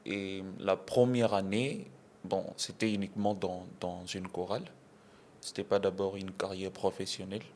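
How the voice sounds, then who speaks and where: A young man from Kinshasa, a gospel artist answering interview questions in a measured way, often saying voilà. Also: sits low, little pitch movement, darkish tone, a little hiss or hum. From Kinshasa, DR Congo. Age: young adult.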